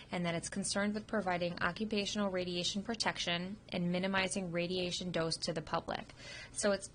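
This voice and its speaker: A woman's voice: lowish voice, fairly bright tone, slight background noise, rough recording. A woman instructor, sick and sniffling, giving an informal recorded review of a radiography test. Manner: informal, explanatory, with frequent ums. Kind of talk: recorded test review lecture over slides. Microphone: computer microphone.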